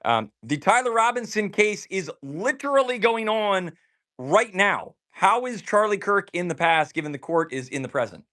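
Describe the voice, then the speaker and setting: Male host, slightly higher voice, presses callers hard, moderating a live audio space. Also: lively intonation, normal speed, sharp tone. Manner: pressing, interrogating, interrupts.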